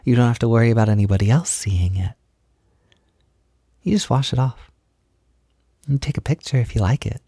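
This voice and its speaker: Man playing a gentle boyfriend, soft and gravelly. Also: medium pitch, no echo, studio quality. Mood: encouraging, reassuring. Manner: soothing, reassuring, unhurried, tender. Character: gentle, affectionate boyfriend comforting his insecure partner. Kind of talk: intimate roleplay audio, soft-spoken ASMR.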